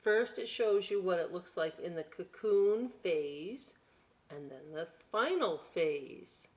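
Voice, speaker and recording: A woman hosting a storytime for young children, reading expressively with drawn-out words and chatty, encouraging asides. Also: on the low side, expressive. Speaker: children's library storytime host.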